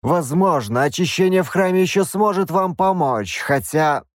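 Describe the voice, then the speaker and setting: A man voicing a stern, zealous bishop who speaks coldly, with a measured hiss. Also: sits high, lively intonation, no echo, clean studio sound, voice pushed up. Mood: cold, menacing. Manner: cold, hissing, measured.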